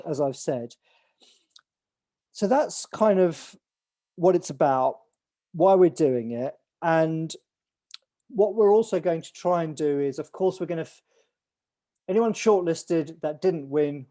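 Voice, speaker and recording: A man hosting a video call, his voice sitting a little high but soft and mellow in tone. He talks in a loose, rambling way, restarting sentences and leaning on fillers as he briefs everyone. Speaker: event organizer hosting an online call.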